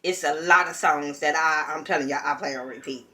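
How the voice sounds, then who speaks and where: A woman's voice: deep voice, a little fast, pushed and bright. A young woman from California ranting bluntly and profanely while reviewing a reality show in a casual vlog. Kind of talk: casual opinion commentary.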